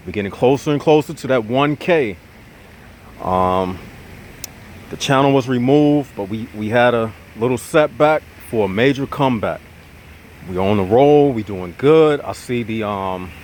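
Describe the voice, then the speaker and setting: Male vlogger, nasal from a cold, preachy rap-to-camera delivery, mid pitch, faint vehicle noise behind. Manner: preachy, animated, addresses viewers as y'all. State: nasal, getting over congestion. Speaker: channel host. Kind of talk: casual monologue to viewers.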